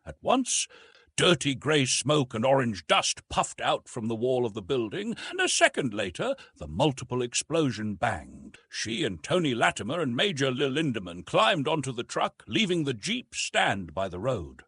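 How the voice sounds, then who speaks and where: A man narrating a classic science fiction story with expressive, measured delivery. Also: medium pitch, husky, no echo. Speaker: audiobook narrator.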